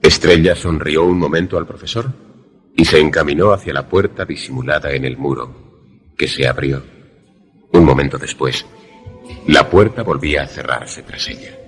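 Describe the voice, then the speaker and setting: A man's voice: extremely low pitch, normal speed, husky, soft background music, exaggerated voice. A man playing a brooding, lovelorn former student who narrates a suspense radio drama in a grave, literary manner. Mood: grave, reflective.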